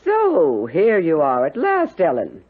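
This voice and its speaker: An actress playing a stern, commanding middle-aged housekeeper aunt, clipped and authoritative yet caring, in a 1970s radio drama. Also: female voice, sits low, huge pitch swings, slow, music in the background, full character voice. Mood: brisk welcome. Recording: narrow-band old radio broadcast.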